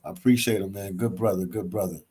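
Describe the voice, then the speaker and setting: A relaxed man with a Philadelphia accent talks casually to his livestream viewers from a hostel library. He reads out their comments and answers them, often repeating phrases and tacking "man" onto the end of his sentences. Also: sits low, fast talker, breathy edge. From Philadelphia, US East Coast. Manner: laid-back, says "man" a lot, repeats phrases. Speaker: travel vlogger reading live chat.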